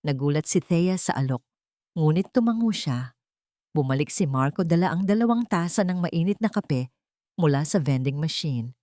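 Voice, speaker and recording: A woman's voice: sits low, animated, normal speed, mellow, dry recording, studio quality. A woman narrating a scripted audio drama in a dramatic, carefully phrased storytelling manner.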